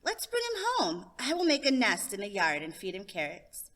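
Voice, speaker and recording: A woman's voice: mid-range voice, wildly swooping pitch, moderate pace, fairly bright tone. A woman voicing an eager, insistent young boy in a storybook, exclaiming and hooting playfully.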